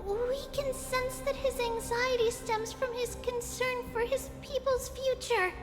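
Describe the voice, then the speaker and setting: A woman's voice: extremely high pitch, level tone, unhurried. A woman voicing a tiny, earnest, kind girl in a video game, childlike and pleading. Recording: long hall reverb under loud music.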